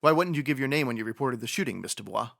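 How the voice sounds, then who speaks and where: A no-nonsense police sergeant played by a woman in a radio mystery. The voice is extremely low and creaky, rattling through firm, matter-of-fact questions at a quick clip. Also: lively intonation, fairly bright tone, heavily acted. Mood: questioning, firm. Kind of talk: radio detective drama acting.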